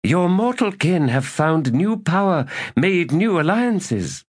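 A man voicing a weary, melancholy old male elder god, speaking in a resigned, slow and measured way. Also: sits high, big pitch swings, slightly rough, pitched above the performer's own voice, heavily acted. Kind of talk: audiobook character reading.

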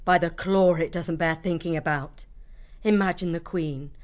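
A woman voicing a bossy, hot-tempered fox captain in an audiobook story, commanding and sharp-tongued. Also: lowish voice, fairly even intonation, normal speed, lo-fi sound, lightly acted. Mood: scornful.

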